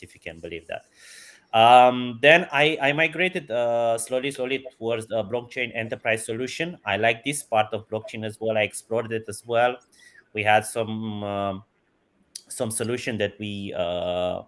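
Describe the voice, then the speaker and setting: A man's voice: lowish voice, a bit bright. A man, enthusiastically answering interview questions and chuckling often. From Romania. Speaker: guest, company CEO.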